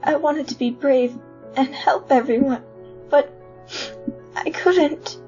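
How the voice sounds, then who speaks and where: A woman voicing a gentle, earnest young skeleton boy with hesitant delivery in a fan comic dub. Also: high voice, takes it slowly. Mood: sad, tearful. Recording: muffled lo-fi audio over music.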